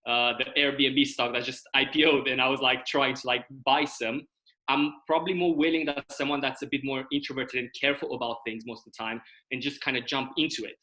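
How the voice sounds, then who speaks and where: A man's voice: medium pitch, fairly even intonation, fairly quick, bright, forceful tone, rough recording. A young man from Romania, speaking as a guest over a video call, explaining at length and often checking in with "right?" and "okay?". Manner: explanatory, uses tag questions like "right?" and "okay?". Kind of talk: casual video-call interview.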